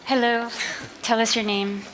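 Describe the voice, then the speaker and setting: A woman's voice: a little high, level tone, unhurried, poor audio. A woman asking short, casual questions as an interviewer. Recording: interview in very loud crowd. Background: loud crowd chatter.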